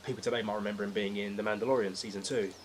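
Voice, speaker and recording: A man with a slightly low voice chats casually as a podcast guest. He talks at a brisk clip. There is audible noise behind him on the recording. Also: steady tone. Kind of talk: casual film and game chat.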